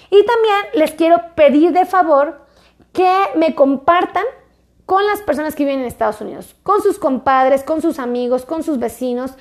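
A woman's voice: high-pitched, darkish tone. A woman doctor talking casually to her viewers, chatty and repetitive, coaxing them along. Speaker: doctor and health video creator. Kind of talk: casual direct-to-camera health talk.

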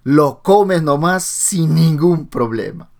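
A man putting on a higher, excitable voice to play a theatrical adult man preaching table manners with gasps and sing-song exclamations. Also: slow, gravelly, heavily acted. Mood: excited.

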